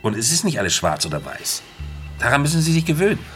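An actor in a German radio drama playing a calm, coolly soothing therapist who guides in a measured way. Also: male voice, medium pitch. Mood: detached, persuasive. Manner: measured, guiding. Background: faint music behind the voice.

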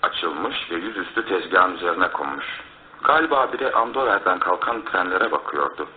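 An actor playing a methodical, cautious police inspector in a radio drama, reporting in a formal, measured way. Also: male voice, low voice, animated. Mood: intent. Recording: phone-like lo-fi radio broadcast, faint noise.

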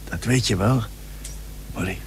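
An actor playing a plucky, stubborn young seafarer and merchant in a period radio drama, speaking earnestly in formal old-fashioned phrases. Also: male voice, fairly low pitch, a little slow, lightly acted. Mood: tender, earnest. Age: young adult. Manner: earnest, formal archaic speech. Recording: noisy old radio recording with hum.

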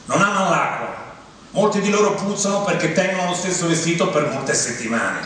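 A man from Rome reading a document aloud on stage in a formal, measured recitation. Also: fairly high pitch, animated, a bit bright. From Rome, Italy. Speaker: monologue performer. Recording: very echoey, distant, faint noise.

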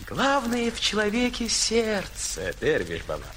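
Jolly, laughing carefree fellow; high, swooping delivery over music. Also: male voice, takes it slowly, slight background noise, heavily acted, poor audio. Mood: merry. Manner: laughing, lively, playful. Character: merry poor man Gasan, jolly, carefree. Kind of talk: audio fairy tale acting.

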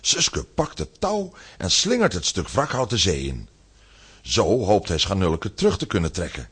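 A man's voice: low-pitched, big pitch swings, normal speed, a bit bright, breathy edge, heavily acted. A man narrating a scripted children's audio drama as the storyteller.

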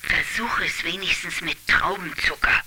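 An actress playing a caring old village grandmother in a radio drama, expressive and full of fussy exclamations. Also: fairly low pitch, moderate pace, natural voice. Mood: caring, determined. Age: older. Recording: very noisy, clicking track.

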